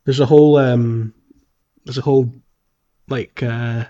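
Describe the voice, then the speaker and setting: A man co-hosting a podcast, chatting informally and a little haltingly about playing a video game. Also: mid-range voice, fairly even intonation, muffled recording. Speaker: podcast co-host. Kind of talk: casual chat about a video game playthrough.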